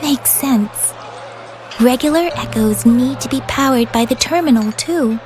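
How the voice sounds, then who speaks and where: Thoughtful young woman in a game, high n gentle, explains stuff earnestly, music under it. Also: measured pace. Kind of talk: video game voice acting.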